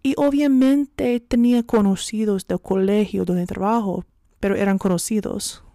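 A woman's voice: mid-range voice, steady tone, moderate pace, warm tone, no room sound, clean studio sound. A woman whose first language is English hosting a personal devotional podcast, earnest and a little self-conscious, sometimes dropping to a whisper. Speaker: podcast host.

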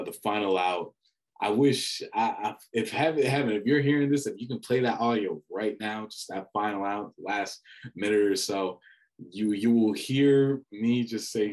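A man's voice: fairly low pitch, rough recording. Chatty young man retelling a game. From Dominican family background. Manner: animated storyteller with many restarts and fillers. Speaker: student radio sports broadcaster. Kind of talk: casual reflective chat.

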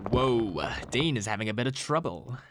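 An actor playing a smug, showy TV game show host with theatrical announcer hype in an audio drama. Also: male voice, medium pitch, very animated, normal speed, heavy background noise, close to an everyday voice. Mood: smug, teasing.

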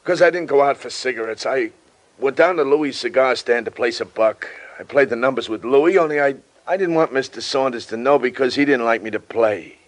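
A male actor as a small-time American crook in an old-time radio drama. He is surly and defensive, with a medium-pitched voice and blunt, ungrammatical street talk. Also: brisk, close to an everyday voice. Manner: blunt, slangy, ungrammatical tough-guy talk. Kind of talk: 1940s-50s American radio crime drama acting.

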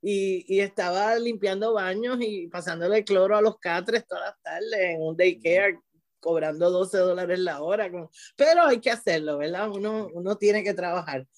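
A woman's voice: fairly low pitch, moderate pace. Puerto Rican woman, chatty interview guest. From Puerto Rico. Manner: restarts often, frequent "¿verdad?" tag. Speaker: guest, teacher and author. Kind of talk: conversational interview.

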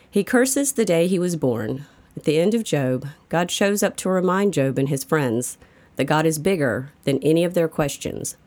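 A woman's voice: low-pitched, a little mellow. A woman reads a prepared devotional reflection. Her delivery is that of a scripted reader, at an ordinary pace with a moderate tone. She speaks as the narrator of a daily faith reading.

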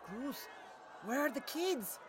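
A woman's voice: sits high, big pitch swings, takes it slowly, a loud crowd, very noisy, exaggerated voice. A woman playing a protective, worried family matriarch in an audio play, urgent in manner.

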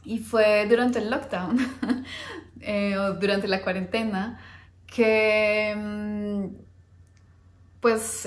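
A woman's voice: mid-range voice, roomy sound. A woman in her thirties hosting a podcast, chatting casually about herself with frequent hesitations and little laughs. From Colombia. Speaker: podcast host. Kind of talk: casual personal talk.

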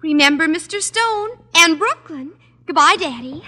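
A woman's voice: very high-pitched, lively intonation, normal speed, pushed and bright, a little hiss or hum, heavily acted. An actress playing an earnest, dutiful teenage schoolgirl in an old radio sitcom, girlish and coaxing. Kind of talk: 1950s radio sitcom acting. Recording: reverberant vintage radio recording.

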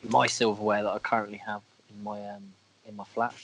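A man with a croaky voice from a cold, co-hosting a podcast in casual, self-deprecating chat. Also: on the low side, little pitch movement, brisk, a crowd in the background. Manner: chatty, self-deprecating, a bit crude. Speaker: podcast co-host.

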